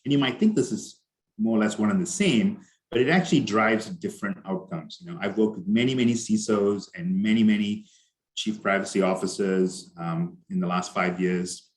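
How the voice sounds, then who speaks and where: A man's voice: lowish voice, moderate pace, low-quality recording. A middle-aged man with an Indian accent giving a conversational conference talk over a video call, with many fillers and restarts. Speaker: conference speaker. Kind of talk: conference presentation.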